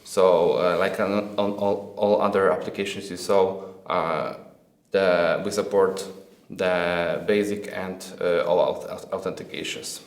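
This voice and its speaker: A man giving a software product presentation as a non-native English speaker, hesitant and halting, often repeating words. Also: low voice, steady tone. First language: not English. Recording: echoey, roomy sound.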